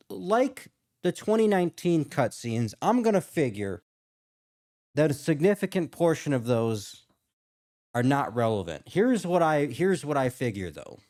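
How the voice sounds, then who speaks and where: A man's voice: a little high, big pitch swings, measured pace, a little mellow. A man giving casual reaction commentary as a video host, rambling and thinking aloud as he goes.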